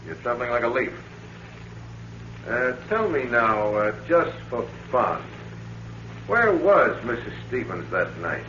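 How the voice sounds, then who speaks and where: A man's voice: mid-range voice, sing-song, slow pace, long pauses, pushed and bright, full character voice. A man playing a shrewd American police captain in a 1940s radio thriller, folksy in manner but insinuating and pressing. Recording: roomy, dull vintage radio recording, faint noise.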